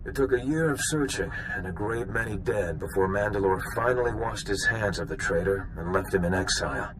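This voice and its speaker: A man's voice: on the low side, fairly quick, background noise, lightly acted. An actor playing a seasoned bounty hunter in a video game, wry and knowing as he lays out old history. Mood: explaining, cautionary.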